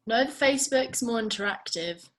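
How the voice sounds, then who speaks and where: A woman's voice: on the high side, animated, normal speed. A woman talking casually in a group meeting as one of the actors at a table read. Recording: clear background noise with clicks.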